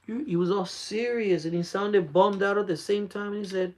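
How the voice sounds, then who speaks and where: A man's voice: high-pitched, steady tone, moderate pace. A man in his forties hosting a casual faith talk for his channel, speaking in an earnest, repetitive, urging way. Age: 40s. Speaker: channel host.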